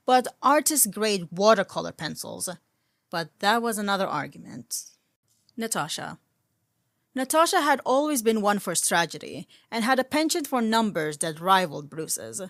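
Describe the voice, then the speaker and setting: A woman's voice: mid-range voice, animated, normal speed, no room sound, studio quality. A woman reading fan fiction aloud as a narrator, in a scripted storytelling style.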